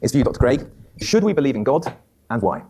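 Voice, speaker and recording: Fast-talking man introducing a debate. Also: mid-range voice, very animated, mellow, a little hiss or hum. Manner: announcing, ceremonial. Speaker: debate moderator. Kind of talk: formal event introduction.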